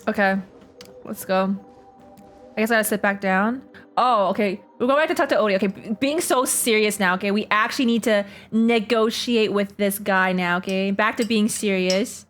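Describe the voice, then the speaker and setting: Female game streamer, medium pitch, casual slangy reaction commentary, jokey and repetitive, light music underneath. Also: normal speed. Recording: faint game music behind.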